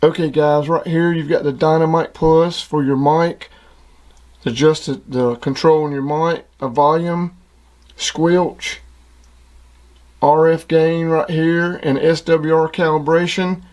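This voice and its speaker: A man's voice: a little high, steady tone, takes it slowly, a little mellow. A man casually showing off radio gear, plain-spoken and enthusiastic, like a hobbyist hosting his own video. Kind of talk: casual product demonstration.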